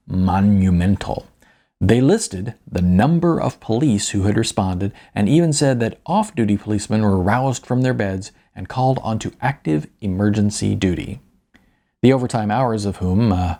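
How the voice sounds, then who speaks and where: A man's voice: lowish voice, vocal fry, darkish tone. A man narrating his own novella as an audiobook in the first person, with a wry, understated delivery and chatty asides.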